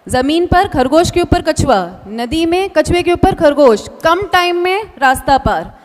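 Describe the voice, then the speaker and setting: A woman's voice: extremely high pitch, expressive, measured pace. A woman telling a motivational story in short punchy phrases, addressing the listener directly. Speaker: motivational speaker. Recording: long echoing hall reverb.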